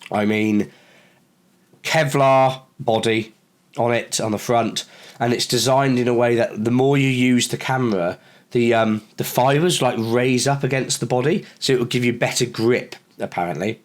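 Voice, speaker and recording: A man with a sore throat who sounds a little croaky, chatting casually in a review vlog with lots of fillers and restarts. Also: medium pitch, steady tone, normal speed. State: hoarse from a cold. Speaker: camera reviewer.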